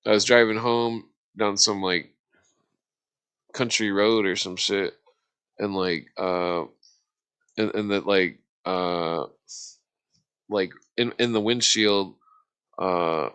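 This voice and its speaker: A middle-aged man rambling through casual stories in a halting, repetitive way, with slangy and profane phrasing. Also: lowish voice, fairly even intonation, fairly bright tone, clear, resonant tone.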